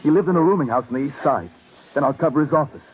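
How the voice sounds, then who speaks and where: An actor playing an intense, anguished police detective with an urgent, clipped manner in an old radio crime drama. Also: male voice, a little high, racing, lightly acted. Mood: tender, weary. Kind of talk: mid-century radio crime drama acting. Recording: muffled, hissy old radio recording.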